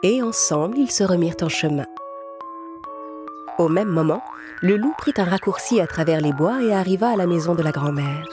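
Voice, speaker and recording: A woman's voice: fairly low pitch, animated, normal speed. A woman narrator reading a scripted fairy tale for small children in a storytelling style. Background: music.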